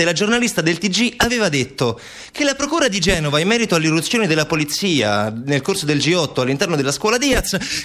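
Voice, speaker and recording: A man delivers a theatrical monologue in a slightly high voice. He is an expressive storyteller who shapes his phrases deliberately. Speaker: stage actor and storyteller.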